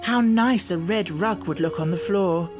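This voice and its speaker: A woman voicing an imaginative, kind young girl with an excited, earnest manner in an audiobook. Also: on the low side, unhurried, over music, voice pushed up, lightly acted. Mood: delighted. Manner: excited, earnest, make-believe playfulness. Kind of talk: audiobook character acting.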